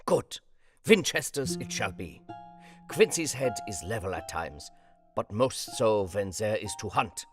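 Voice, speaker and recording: Man playing commanding old professor, swooping pitch. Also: animated, unhurried, over music. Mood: resolute, wry. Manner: formal, quaint inverted syntax. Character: commanding older male foreign professor, eager vampire hunter. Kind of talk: radio play acting.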